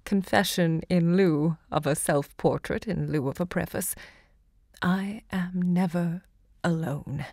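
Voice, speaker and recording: An actress playing an elderly American woman writer and literary hostess in an audio drama, speaking in a gracious, deliberate, theatrical way. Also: lowish voice, vocal fry, a little mellow, dry recording, clean studio sound, exaggerated voice. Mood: reflective, theatrical. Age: older.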